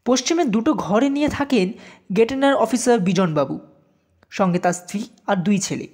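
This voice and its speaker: A woman narrating a scripted audio story in an expressive storytelling manner. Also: mid-range voice, moderate pace.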